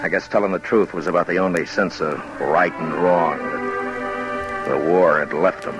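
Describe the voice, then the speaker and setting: An actor playing a tough, terse frontier marshal with a dry, commanding manner in an old radio western. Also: male voice, sits low, fairly even intonation, moderate pace, close to an everyday voice. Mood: grim, reflective. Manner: terse, commanding, dryly sardonic. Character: tough, stern frontier US marshal telling his own story. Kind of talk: 1950s radio western acting and narration. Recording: old radio broadcast with loud music behind.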